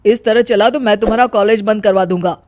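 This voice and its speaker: A woman voicing a stern, dismissive young husband in an animated family story. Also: medium pitch, quick pace, background noise, close to an everyday voice.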